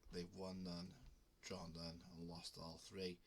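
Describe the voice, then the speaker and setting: A man's voice: low-pitched, monotone, slow, vocal fry, a little hiss or hum. A man with a cold hosting his betting video, talking casually with frequent ums and restarts. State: has a cold. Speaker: betting channel host. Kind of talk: casual talk to camera.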